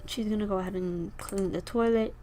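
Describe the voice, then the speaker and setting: A young woman with a slightly raspy, tired voice chatting casually as she plays a life-sim game, rambling and talking to her characters. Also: medium pitch, fairly even intonation, fairly quick, warm tone, soft background music. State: tired, sore throat. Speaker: gameplay video host. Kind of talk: casual gameplay commentary.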